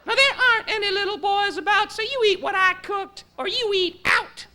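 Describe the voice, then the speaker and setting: A man voicing a bossy, scolding giant's wife in a storybook tale, pitched up high and shrill. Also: normal speed, bright, forceful tone, heavily acted. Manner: nagging, sing-song scolding.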